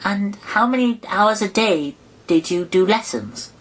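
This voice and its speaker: A man voicing a prim, touchy young girl in a high, raised pitch. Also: lively intonation, measured pace, fairly bright tone, full character voice, rough recording. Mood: indignant. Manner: polite but touchy.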